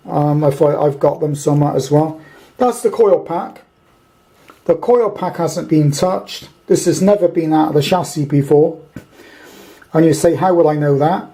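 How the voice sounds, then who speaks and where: A man with a soft, mellow tone and a slightly high pitch talks through a repair job at his workbench. He is casual and unscripted, rambling a little as he thinks aloud in a matter-of-fact way. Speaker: hobbyist radio repairer presenting his video. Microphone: handheld camera, speaker behind it.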